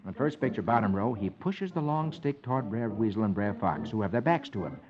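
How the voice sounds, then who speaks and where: A man's voice: fairly low pitch, expressive, fairly quick, over a noisy crowd. An American man hosting a 1950s children's radio show, narrating comics in a dramatic storyteller's manner. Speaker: host reading the comics. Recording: muffled old radio recording, music behind.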